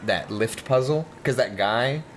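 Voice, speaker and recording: A man's voice: medium pitch, a little slow. A man hosting a let's play, chatting and joking casually over a video game. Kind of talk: casual joking gaming commentary. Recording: faint background noise.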